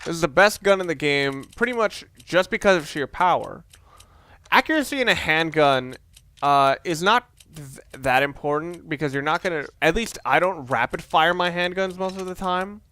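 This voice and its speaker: Higher-pitched young man, rambling game commentary. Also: normal speed, sharp tone, no echo. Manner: rambling, self-interrupting, conversational. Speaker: let's play host. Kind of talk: casual gameplay commentary. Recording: faint background noise, game sounds underneath. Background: video game sound.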